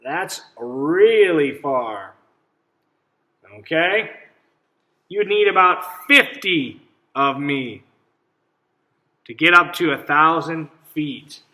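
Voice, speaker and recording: A man reading a picture book aloud to children as a teacher, pausing to ask simple questions and explain things. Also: a little high, bright, forceful tone. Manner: instructive, checks understanding with simple questions.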